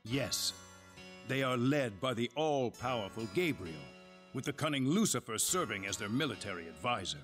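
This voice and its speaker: A grave male leader from a fantasy video game, played by an actor, formal and courteous. His mid-pitched voice moves expressively as he explains things in measured phrases. Music plays behind him. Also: lively intonation, a bit bright, lightly acted. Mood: solemn, urgent. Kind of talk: dramatic video game dialogue.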